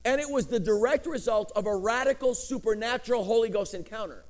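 A middle-aged male preacher from Chicago, raised speaking Greek, delivers a sermon full of rising and falling inflections and quick joking asides. His voice sits high for a man. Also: darkish tone. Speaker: pastor.

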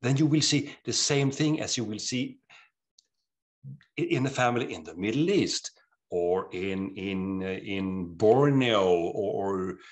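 A middle-aged man with a Swedish accent, an author talking in an interview, earnest and animated, often restarting his sentences. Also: lowish voice, slow. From Sweden. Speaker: author guest. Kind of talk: interview conversation.

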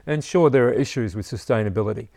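A man's voice: mid-range voice, expressive, normal speed, gravelly, no room sound, clean studio sound. A man, an herbalist teacher chatting casually in an interview, rambling and saying "you know" often.

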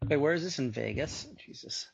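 A man's voice: fairly high pitch, expressive, fairly quick, creaky, slightly rough, dull audio. A man co-hosting a podcast, dry and sardonic as he mocks a debate. He sounds worn out by it all. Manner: sardonic, weary. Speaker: podcast co-host. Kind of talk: crude live commentary on a debate.

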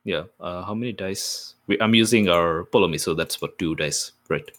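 A man's voice: deep voice, normal speed, a bit bright. A man chatting casually as a player in a tabletop roleplaying game, thinking aloud hesitantly as he decides.

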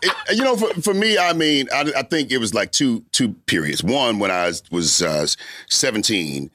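Deep-voiced middle-aged male host. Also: big pitch swings, normal speed, pushed and bright, no room sound, clean studio sound. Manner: laughing, self-mocking storytelling. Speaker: show host. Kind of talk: casual chat.

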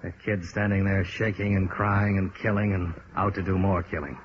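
An actor playing a dogged, terse insurance investigator in a 1950s American radio detective drama, with a clipped, understated delivery. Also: male voice, low voice, fairly even intonation, moderate pace, close to an everyday voice. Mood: terse, weary. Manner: clipped, hard-boiled, understated. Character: dogged, terse male insurance investigator narrating his case. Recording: muffled, noisy vintage radio recording.

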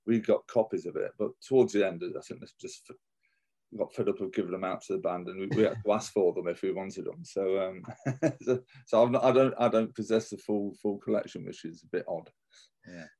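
Male band musician, low and mellow, hesitant, thinks out loud. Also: a little fast. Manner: hesitant, thinking aloud with fillers. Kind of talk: casual interview.

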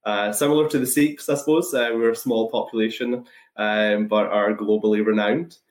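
A man with a Scottish accent explaining things thoughtfully on a webinar, with measured, hesitant phrasing. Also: fairly low pitch, steady tone. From Scotland. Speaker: guest, anaesthesiology and intensive care resident. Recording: echoey webinar call.

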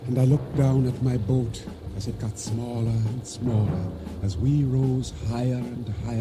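An actor playing an uneasy, trusting boatman who tells his story in measured, formal radio drama narration. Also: mid-range voice, close to an everyday voice. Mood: tense, fearful. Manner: measured, formal storytelling with simple dignified phrasing. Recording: radio drama with music underneath.

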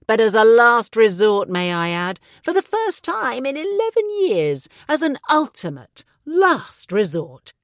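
A man's voice: sits high, sing-song, normal speed, few pauses, no room sound, clean studio sound. A woman reading the lines of a spiteful middle-aged man who taunts with sarcasm. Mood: mocking.

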